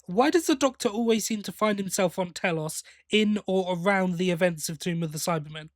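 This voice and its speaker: A man with a somewhat high-pitched voice co-hosts a review podcast in a casual, conversational way. He offers opinions tentatively and softens them as he talks. The recording is dry and echo-free. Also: a little fast.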